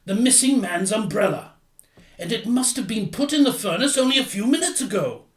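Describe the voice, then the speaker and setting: A man acts a fussy, loyal gentleman sidekick in an audio detective play. His voice sits high and jumps about animatedly, full of proper bluster. It is recorded in an echoey space with faint noise. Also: lively intonation, fairly quick. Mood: excited. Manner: blustery, proper, old-fashioned exclamations. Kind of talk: audio theatre detective drama. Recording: roomy echo, faint noise and clicks.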